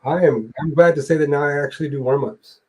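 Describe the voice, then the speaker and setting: A young man hosting a live streamed interview, talking in a relaxed, rambling way with loose fillers and the odd swear word. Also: a little high, fast, slightly rough. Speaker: podcast host and musician. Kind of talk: casual interview chat. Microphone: remote streaming call mic.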